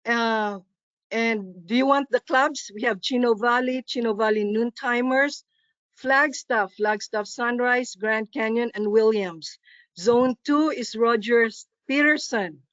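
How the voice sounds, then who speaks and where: A woman with a Filipino accent reading a poem and then a list of names at a club meeting, careful and slow, with small self-corrections. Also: a little high, fairly bright tone, no echo, highs cut off. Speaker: club officer presenting at a district meeting.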